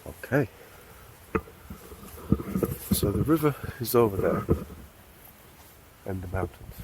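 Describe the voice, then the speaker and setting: A man's voice: fairly low pitch, lively intonation, slow, darkish tone, a little hiss or hum, low-quality recording. A man with a blocked nose talking casually to camera as a motorcycle travel vlogger, tired and laid-back with lots of fillers. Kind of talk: casual vlog talk to camera.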